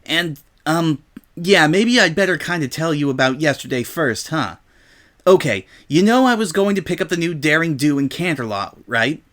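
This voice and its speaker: A brash young pegasus mare from a cartoon-style story reading, acted out by a man. The voice is pushed up high and comes out loud and indignant, full of defensive exclamations. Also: expressive, normal speed. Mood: defensive, sheepish.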